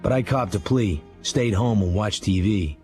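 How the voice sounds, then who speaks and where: An actor playing a haunted, hard-bitten American writer, narrating a memoir in blunt, clipped sentences for a radio drama. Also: male voice, fairly low pitch, normal speed, a little mellow, faint music behind, lightly acted. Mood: hard-bitten, bleak.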